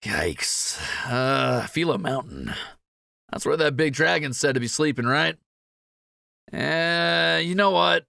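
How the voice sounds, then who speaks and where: A young man playing a cocky, excitable, jittery thief in a fantasy roleplay audio drama, joking and quick to exclaim. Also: a little high, gravelly, no echo, clean studio sound, heavily acted. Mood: nervous, incredulous.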